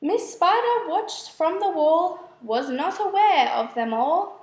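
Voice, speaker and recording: A woman reading children's verse aloud as a storyteller, in a measured, expressive way. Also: very high-pitched, reverberant. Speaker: storybook reader. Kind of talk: scripted children's verse narration.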